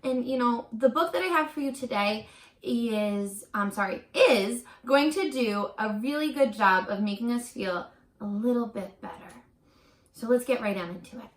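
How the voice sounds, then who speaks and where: A woman teacher reading a picture book aloud to young children, expressive and encouraging, pausing for chatty asides and questions. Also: a little high. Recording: roomy echo.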